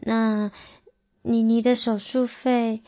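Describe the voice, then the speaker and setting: A woman's voice: fairly high pitch, flat delivery, slow pace, no echo. A woman playing a plaintive, manipulative ex-girlfriend who pleads coyly in an audio drama. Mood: hesitant, wheedling. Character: clingy, manipulative engaged ex-girlfriend, plaintive and coy. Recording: slightly dull audio with music.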